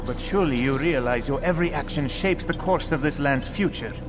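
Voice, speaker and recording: A man's voice: a little high, a little fast, over music, natural voice. A man playing a knowing, dry informant for an assassin order in a video game, articulate and explanatory. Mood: wry, informative. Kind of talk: dramatic video game acting.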